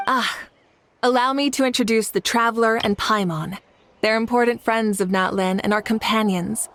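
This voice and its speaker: A woman voicing a composed female fire goddess who leads her nation, calm, polite and measured in a video game cutscene. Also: a little high. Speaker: leader. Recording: game audio with faint music.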